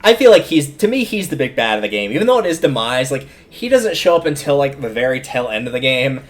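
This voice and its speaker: A man's voice: mid-range voice, sing-song, quick pace. A man giving casual, rambling livestream commentary on a video game, often stopping to explain things.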